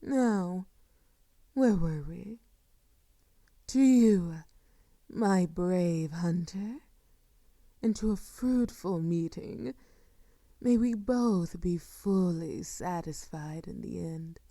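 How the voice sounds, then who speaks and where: Slow, velvety dark vampire seductress played by a woman. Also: fairly low pitch, dry recording, studio quality. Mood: smug, courtly. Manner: silky, formal, teasing, often dropping to a whisper. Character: sadistic, seductive female vampire, courtly and predatory. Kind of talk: intimate audio roleplay acting. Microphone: close microphone, dry.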